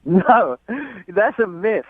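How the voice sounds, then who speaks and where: A man's voice: high voice, expressive, slow pace, no echo. A man with an English accent, calling in to a radio show and rambling self-consciously with many restarts. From England. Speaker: caller. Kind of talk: phone call to a radio show. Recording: muffled phone line.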